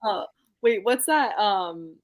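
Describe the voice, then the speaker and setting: A woman's voice: medium pitch, expressive, slow pace. A woman co-hosting a casual book discussion, talking in an informal, gushing way. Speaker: book club live show co-host.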